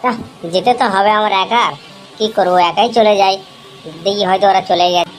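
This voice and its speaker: A woman's voice: medium pitch, brisk, soft background music, natural voice, poor audio. A woman voicing an earnest young animal pupil in an amateur cartoon comedy. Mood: worried, muttering.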